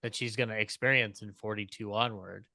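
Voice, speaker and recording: A man's voice: lowish voice, steady tone, fairly quick, creaky, sharp tone, dry recording. A man talking casually as a podcast panelist, thinking aloud in a rambling, thoughtful way.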